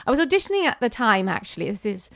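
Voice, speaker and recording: A woman's voice: on the high side, big pitch swings, very fast, gravelly, fairly bright tone. A woman from Wales with a Welsh accent, chatting as a guest in an interview, laughing often and restarting her sentences. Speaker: guest, singer and actress. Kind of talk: casual conversational interview.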